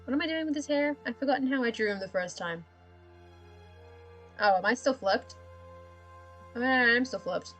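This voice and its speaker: A woman's voice: fairly high pitch, normal speed, pauses often, fairly bright tone, faint music behind. A young woman from Australia with a slightly American-drifting Australian accent, chatting casually and rambling with self-deprecating laughs while drawing on a live stream.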